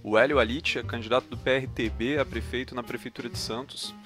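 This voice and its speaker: A man's voice: medium pitch, steady tone, quick pace, music in the background. Informal podcast host, male. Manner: informal, colloquial. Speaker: podcast host interviewing a candidate. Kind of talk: informal podcast intro and questions.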